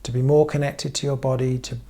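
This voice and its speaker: Male meditation guide, very creaky mid-pitched voice, unhurried gentle instruction with frequent pauses. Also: little pitch movement. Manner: measured, pausing often between short phrases. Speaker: therapist leading guided meditation.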